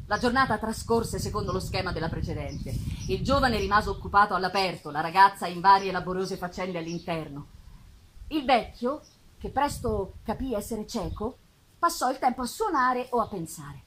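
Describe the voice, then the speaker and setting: A woman giving an expressive, dramatic literary reading with pauses and drawn-out phrases, performed live on stage. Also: medium pitch, a little hiss or hum, rough recording. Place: live theatre festival performance. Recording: live music behind the voice. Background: live musical accompaniment.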